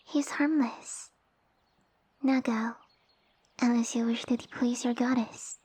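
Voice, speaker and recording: A woman playing a sassy, tiny snake-girl noble with pompous, formal, commanding speech and a soft breathy voice, in an ASMR roleplay. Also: on the high side, fairly even intonation, takes it slowly. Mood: imperious, dismissive. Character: haughty, sassy young lamia noblewoman, tiny monster girl. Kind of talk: ASMR roleplay audio drama.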